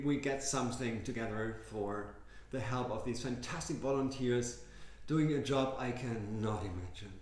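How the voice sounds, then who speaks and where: A man leading a yoga class, speaking softly and slowly with gentle instructions. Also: medium pitch. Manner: soft, slow, gently instructive. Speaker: yoga teacher. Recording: very echoey room.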